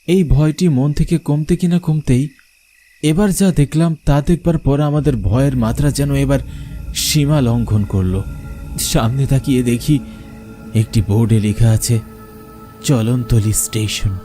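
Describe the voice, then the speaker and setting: A man's voice: mid-range voice, unhurried, pauses often, warm tone, faint music behind. A man narrating a scripted horror story in the first person, in a dramatic, suspenseful manner. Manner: suspenseful, theatrical.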